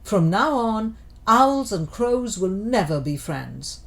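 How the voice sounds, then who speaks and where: A wounded, haughty storybook owl declares his grievance in a woman's voice. He is indignant and accusing, with very lively movement in pitch. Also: slow pace, vocal fry, heavily acted. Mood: angry, wounded.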